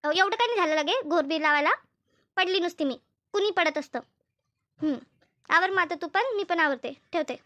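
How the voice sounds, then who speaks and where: Woman voicing a shrill, grumbling cartoon village housewife. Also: expressive, brisk, pauses often, bright, forceful tone, muffled recording, exaggerated voice. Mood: reassuring. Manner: colloquial, repetitive, grumbling. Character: Shanta, complaining rural Marathi housewife and mother. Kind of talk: comedy cartoon dubbing, everyday domestic chat.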